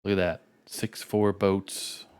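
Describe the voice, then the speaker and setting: A man's voice: low-pitched, takes it slowly, smooth. A Canadian man with a Canadian accent, casually commentating a live card game stream and joking with his co-caster. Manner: animated, jokey. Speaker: esports caster.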